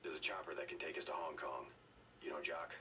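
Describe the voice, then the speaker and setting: An actor playing a gruff, stoic cyborg agent in a video game, with flat, terse delivery. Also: male voice, a bass voice, fast, recorded from a distance, heavily acted. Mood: businesslike. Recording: game audio filmed off a TV, faint noise.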